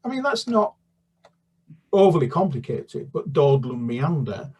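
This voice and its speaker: A man's voice: on the high side, husky. A British children's author talking about his books with many restarts, then reading a chapter aloud. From United Kingdom. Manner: halting, many restarts and fillers, then steady narration. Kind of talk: book talk and read-aloud.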